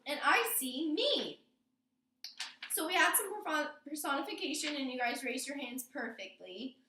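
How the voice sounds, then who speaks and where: A woman's voice: high voice, lively intonation, normal speed, sharp tone, slight background noise. A woman teacher leading a classroom lesson and reading poetry aloud, instructing and asking prompting questions in an indoor room. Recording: roomy, echoing classroom sound.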